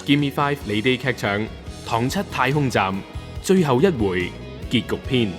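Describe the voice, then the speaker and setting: A man's voice: medium pitch, lively intonation, unhurried. A man with a Hong Kong Cantonese accent reading scripted credits and trailers as a radio drama announcer. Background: guitar music.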